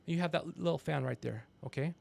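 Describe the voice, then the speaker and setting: A man's voice: a little high, fairly quick, gravelly, darkish tone. A man in his fifties hosting a casual comedy livestream, rambling, teasing and swearing as he talks. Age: 50s. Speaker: podcast host.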